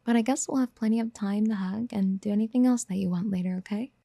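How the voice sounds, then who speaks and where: A woman playing a doting, obsessive would-be mother in a soft-spoken ASMR roleplay, breathy and often near a whisper, tenderly coaxing. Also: mid-range voice, fast, no room sound. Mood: gentle, hesitant. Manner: tender, coaxing, hesitant pet-name murmuring. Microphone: close microphone in a dry, quiet space.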